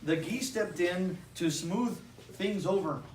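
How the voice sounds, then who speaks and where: Expressive woman reading aloud in echoey room. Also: fairly low pitch, slow pace, heavy background noise. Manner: expressive, dramatic emphasis, engages listeners with questions. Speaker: storybook reader. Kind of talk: interactive children's read-aloud. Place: indoor room with a live audience. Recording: echoey room, distant mic, audience chatter.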